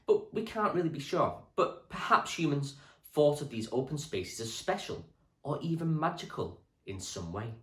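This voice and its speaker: A man, a primary school teacher, reading a children's history book aloud with clear, expressive storytelling for children. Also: on the high side, moderate pace. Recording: echoey room.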